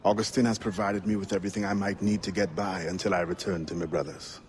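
A steadfast, dignified pirate assassin played by a man with a fairly low voice and a Caribbean accent. He speaks formally and stays composed, in dramatic game style, with faint ambience behind him. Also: level tone, quick pace, a little mellow, slight background noise, natural voice. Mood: guarded. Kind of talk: dramatic video game acting.

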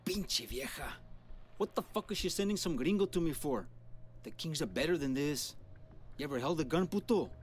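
A man's voice: on the high side, animated, moderate pace, slight background noise, natural voice. An actor voicing a suspicious, menacing male gang boss in a video game, with a confrontational, streetwise, curse-heavy manner. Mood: hostile, scornful.

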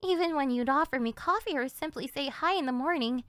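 A high-pitched yandere delinquent girlfriend, acted by a woman for an ASMR audio roleplay. She is clingy and doting, pleading sweetly with a possessive, threatening edge. Music plays behind her voice. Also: a little fast, fairly bright tone, dry recording. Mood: doting, wistful. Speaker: voice actress.

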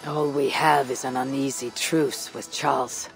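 An actress playing a calm, stoic and wry Viking raider hero in a video game, speaking in a measured way. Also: female voice, rumbling low, gravelly, faint music behind, heavily acted, poor audio. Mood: solemn. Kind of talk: video game cutscene acting.

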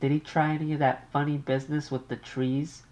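Male narrator playing a fretful middle-aged mother, pitched high and loud, insistent and pushy. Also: little pitch movement, a little fast, natural voice. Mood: anxious. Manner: worried, insistent, interrupting.

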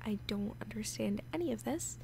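A woman playing a nervous, awkward, obsessively lovesick young woman in an intimate audio roleplay, halting and often slipping into whispers. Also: mid-range voice, expressive, vocal fry, mellow, a little hiss or hum. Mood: confused, hesitant. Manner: halting, hesitant, breaking into whispers.

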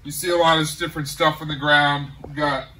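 A middle-aged man casually showing off his garage gym, talking in a relaxed, rambling way with many pauses. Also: fairly high pitch, recorded from a distance, slight background noise, poor audio. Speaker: home gym owner giving a tour. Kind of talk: casual walk-through tour. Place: large garage home gym.

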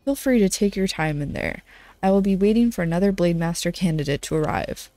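A congested, nasal-sounding woman voicing a gruff male clan blademaster in a video game, reading his lines in a lowered, measured way. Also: animated, dark tone, dry recording, close to an everyday voice. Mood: formal.

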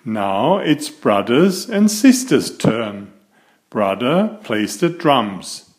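Slow, lively male children's storyteller. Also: mid-range voice. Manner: expressive, deliberate, storytelling for young children. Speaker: picture-book reader and author of children's stories. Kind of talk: read-aloud children's story narration.

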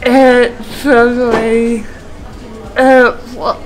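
A young woman, speaking slowly and haltingly with many restarts in an interview at a gym. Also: fairly high pitch, little pitch movement, low-quality recording. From New Zealand. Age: young adult. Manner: slow, halting, effortful speech with many restarts. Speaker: trainee personal trainer. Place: gym, indoors.

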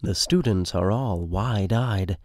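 A man's voice: deep voice, slow, no echo, clean studio sound. A man giving scripted, theatrical narration for a radio play.

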